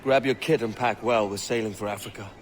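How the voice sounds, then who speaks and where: An actor playing a brisk, commanding young pirate captain in a video game, terse and businesslike. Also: male voice, lowish voice, steady tone, noisy background, lightly acted.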